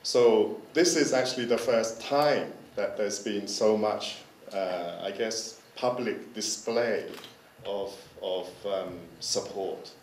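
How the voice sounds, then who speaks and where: A man whose first language is Dutch, giving a hesitant conference talk as a curator, often repeating words and pausing with fillers. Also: on the low side. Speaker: curator giving a forum presentation. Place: forum lecture hall. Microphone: handheld microphone. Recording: roomy hall sound from a distant mic, faint noise.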